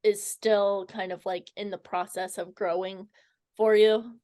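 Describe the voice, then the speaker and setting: A woman conducting a conversational interview, putting her questions in a measured way with pauses partway through. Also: mid-range voice, flat delivery, dark tone, no room sound, studio quality.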